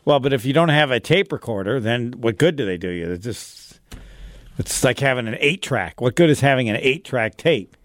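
A man's voice: medium pitch, animated, moderate pace, fairly bright tone, no room sound. A man co-hosting a sports radio show in casual chat, rambling and cutting himself off mid-thought. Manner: rambling, self-interrupting, chatty.

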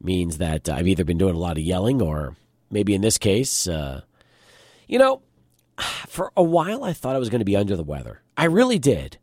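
A man hosting a studio sports talk show, his voice a little hoarse and deeper than usual, chatting and asking questions. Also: normal speed, dry recording. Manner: conversational, lively questioning. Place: radio studio.

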